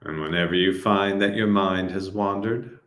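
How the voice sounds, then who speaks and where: Deep-voiced man guiding a meditation. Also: normal speed, reverberant. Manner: calm, soft-spoken instructions with long silences. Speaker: meditation guide. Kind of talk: guided breathing meditation.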